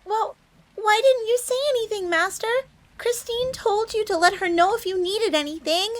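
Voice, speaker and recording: A woman's voice: extremely high pitch, moderate pace. A woman playing a bubbly, devoted fox maid with a fierce streak, eagerly deferential and speaking of herself in the third person in an audio roleplay. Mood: cheerful, doting. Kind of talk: dramatic audio roleplay storytelling.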